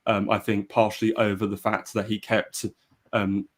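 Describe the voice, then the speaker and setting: A man with a low voice hosts a live history discussion. He talks in an even, flat line and often stops for fillers and false starts. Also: moderate pace. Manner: hesitant, many fillers and restarts. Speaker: podcast host. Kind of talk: casual live-stream discussion.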